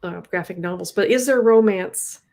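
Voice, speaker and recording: A woman hosting a casual interview, chatty and quick to react and interject. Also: fairly low pitch, gravelly, a little mellow. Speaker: show host.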